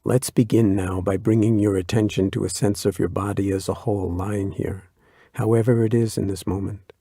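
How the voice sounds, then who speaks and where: A man leading a guided body scan meditation, speaking slowly in a soft, soothing way. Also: on the low side, little pitch movement, breathy edge, no echo, studio quality. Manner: slow, soft-spoken and soothing.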